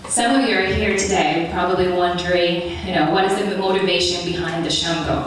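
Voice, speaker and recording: A young woman from Ethiopia giving an earnest, measured prepared speech at a public meeting. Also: lowish voice, steady tone, a little hiss or hum. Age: young adult. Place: large meeting hall. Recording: echoey hall recorded from far off.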